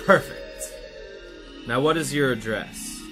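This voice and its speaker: An actor playing a vain, scheming hypnotist therapist in a radio mystery, with a deliberate, soothing yet commanding manner. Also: male voice, mid-range voice, music in the background, lightly acted. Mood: commanding, sinister. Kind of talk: radio mystery drama acting.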